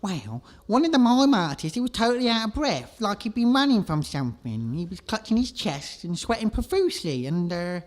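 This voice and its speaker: A man's voice: sits high, very animated, moderate pace, few pauses. A man playing a naive, hapless defendant in a comedic radio sketch, whiny and earnest. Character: hapless, naive jobless young man on trial.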